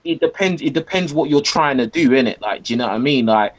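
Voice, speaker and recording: A man's voice: medium pitch, animated, quick pace. A man from England, talking as a podcast guest and checking in often with "do you know what I mean". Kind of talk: casual podcast discussion.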